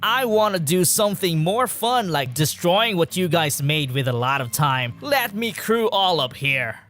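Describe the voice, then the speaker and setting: A man's voice: a little high, expressive, a little slow, fairly bright tone. A man voicing a swaggering, spiteful teenage thug with a drawling, taunting manner in a manga dub. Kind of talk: scripted manga dub acting. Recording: music bed behind voice.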